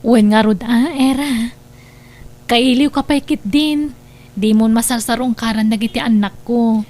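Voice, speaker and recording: A woman's voice: on the high side, measured pace, darkish tone, close to an everyday voice. A woman with an Ilocano Filipino accent acting a suspicious, hurt wife and mother in an audio drama. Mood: friendly, probing.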